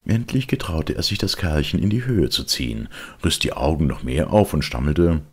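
A man narrating a children's storybook as an expressive audiobook reader. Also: extremely low pitch, moderate pace, breathy edge.

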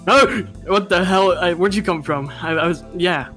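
A man's voice: sits high, moderate pace, pushed and bright. A young man improvising comedic anime dubs with friends in a profane, exclamatory way. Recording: music behind the voice.

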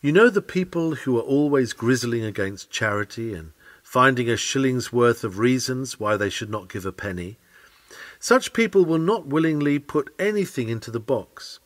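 A man reading an old Victorian sermon aloud in an earnest, oratorical manner with deliberate phrasing. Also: medium pitch, expressive. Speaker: sermon reader.

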